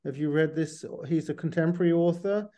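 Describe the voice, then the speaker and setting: A man co-interviewing on a video call from home, talking casually and haltingly, often rephrasing himself. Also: on the high side, steady tone, mellow. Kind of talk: casual, discursive interview talk. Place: indoors, book-lined room at home, on a video call.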